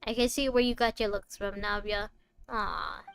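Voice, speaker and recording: A woman's voice: mid-range voice, flat delivery, fairly quick, clean tone. A woman casually commentating on a game stream, chatty and reacting aloud as she plays. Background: game music.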